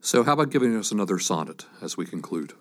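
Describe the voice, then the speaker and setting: A man hosting an interview, putting questions to a guest in a measured way. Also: fairly low pitch, sing-song. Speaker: interview host.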